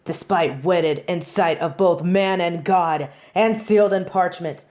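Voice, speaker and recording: Woman playing a haughty angel judge, slow and commanding. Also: fairly low pitch, a little mellow, natural voice. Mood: indignant, declaring. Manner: pompous, formal, theatrical. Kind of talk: scripted audio roleplay.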